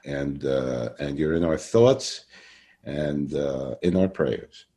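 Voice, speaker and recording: An older man hosts a conversational interview, haltingly and often repeating his phrases. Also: rumbling low, warm tone. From Brooklyn, New York. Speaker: podcast interviewer.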